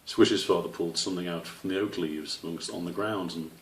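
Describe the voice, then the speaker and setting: A man's voice: low voice, steady tone, fairly quick. A man reading a children's story aloud as its narrator. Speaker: author reading own story. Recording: roomy, slightly distant microphone.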